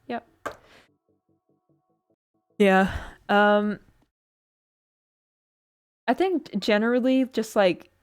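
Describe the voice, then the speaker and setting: A woman's voice: medium pitch, clear, resonant tone. Rambling streamer woman, faint music behind. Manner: rambling, filler-heavy, giggly, self-deprecating. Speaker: streamer building a model kit. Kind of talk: casual chat while building, reading chat.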